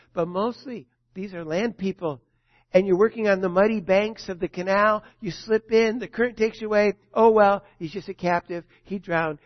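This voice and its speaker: A man in his sixties preaching as a pastor, telling stories with dramatic swells and hushed drops. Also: high voice, no echo. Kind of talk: sermon. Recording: dull, dry sound.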